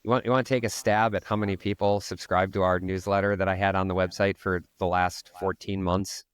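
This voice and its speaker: A man's voice: low voice, little pitch movement, fairly quick, smooth, dry recording. A man co-hosting a casual marketing podcast, explaining things step by step as he thinks aloud. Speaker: podcast co-host.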